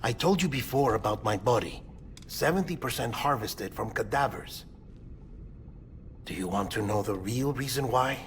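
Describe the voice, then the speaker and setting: An actor playing a melancholy, earnest lab-grown man in a cinematic video game, speaking with deliberate, confessional phrasing. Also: mid-range voice, expressive, slight background noise, close to an everyday voice. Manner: deliberate, storytelling delivery. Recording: background music under dialogue.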